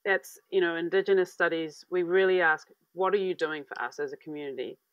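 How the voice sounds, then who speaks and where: A woman's voice: on the low side, fairly even intonation, fairly quick, no echo. Woman giving a casual video-call talk. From New Zealand. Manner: casual, searching for words, many fillers. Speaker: academic speaker. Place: room at home with bookshelves. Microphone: earphone mic on video call. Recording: dry, dull video-call audio.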